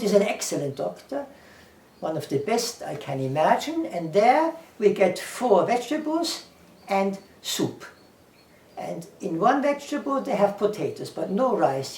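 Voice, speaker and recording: A man's voice: sits high, vocal fry, darkish tone. A man with a German accent, speaking as an English teacher in a casual talk to camera, earnest, repeating himself and listing ideas one by one. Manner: earnest, repeats himself, lists things, quotes scripture. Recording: echoey room, far from the mic.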